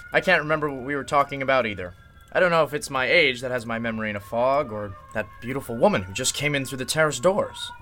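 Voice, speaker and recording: A man's voice: mid-range voice, fast, pushed and bright, faint music behind, close to an everyday voice. A man playing an affable, courteous district attorney in a radio drama, chatty and curious. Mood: affable, charming. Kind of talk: golden-age radio drama acting.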